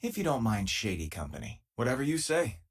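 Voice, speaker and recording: A man playing a loyal, easygoing former miner and spaceship crewmate in a video game, with a husky, casual, wry delivery. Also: mid-range voice, very animated, brisk. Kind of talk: video game companion dialogue.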